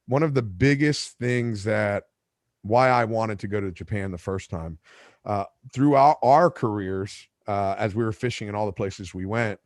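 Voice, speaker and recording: A man's voice: fairly low pitch, no echo, studio quality. A man whose first language is Spanish, hosting a podcast and telling a long story in a casual, rambling way with lots of asides and restarts. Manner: rambling, self-correcting, with asides. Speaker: podcast host.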